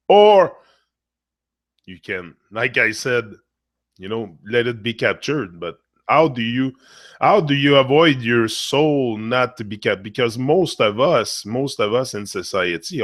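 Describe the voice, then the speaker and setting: A man who is losing his voice, hosting a casual spiritual monologue and talking in a rambling, halting way with frequent fillers and repeated phrases. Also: medium pitch, fairly bright tone, no echo, clean studio sound. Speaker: motivational channel host.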